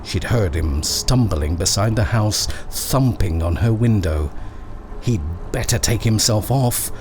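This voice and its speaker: A man reading a horror short story as dramatic, suspenseful narration, measured and full of pauses. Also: fairly low pitch, lively intonation, darkish tone, a little hiss or hum.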